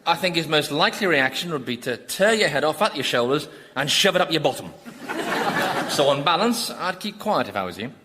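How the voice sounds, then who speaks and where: Radio comedy role: weary, sarcastic tormented sinner, highish animated voice, long reverb over noisy background. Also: male voice, fairly quick. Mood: incredulous. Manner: dry, sarcastic, incredulous. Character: sardonic damned man, weary victim of demon torturer. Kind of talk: radio sitcom acting. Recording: long hall reverb, clear background noise.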